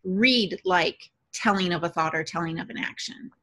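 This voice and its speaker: A woman's voice: lowish voice, moderate pace, pushed and bright. A woman on a podcast panel, talking casually and expressively as she explains. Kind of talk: casual discussion.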